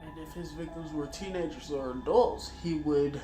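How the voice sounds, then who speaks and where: A man's voice: fairly high pitch, moderate pace. A man hosting an online video in a casual explainer style, informal and offhand, sometimes stumbling over his words. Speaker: YouTube video host. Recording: roomy echo, music behind. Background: background music.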